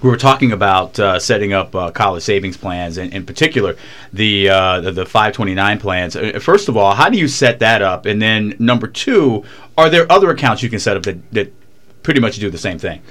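A man co-hosting a radio money show who is losing his voice, friendly and brisk. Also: fairly low pitch, expressive, fairly bright tone.

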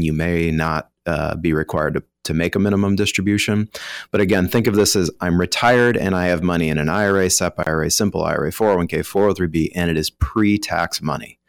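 Male financial expert, American, low register, dry studio sound, talks listeners through rules conversationally. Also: clean tone, no room sound. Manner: explanatory, informal, voices hypothetical first-person examples. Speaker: retirement planning professional, guest expert. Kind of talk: conversational explaining of financial rules on a talk show.

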